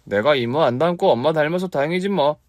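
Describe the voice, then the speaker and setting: Nagging tidy little boy, read by a man, pitched up, dry. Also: fairly quick, dry recording, lightly acted. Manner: nagging, childish. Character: fussy, tidy young boy nephew.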